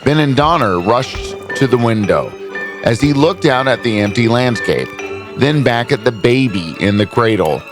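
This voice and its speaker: A man's voice: medium pitch, expressive, moderate pace, music in the background. A man reading scripted storybook narration as a storyteller.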